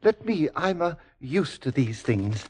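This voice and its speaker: An actor playing a jittery, evasive bridegroom in 1940s radio style, hesitant, stammering and urgent. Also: male voice, fairly high pitch, big pitch swings, unhurried, warm tone, slightly rough, heavily acted. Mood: insistent.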